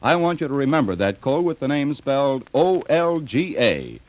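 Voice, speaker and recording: Animated 1930s male radio announcer. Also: mid-range voice, moderate pace. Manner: folksy, direct address to listeners. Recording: old 1930s radio recording.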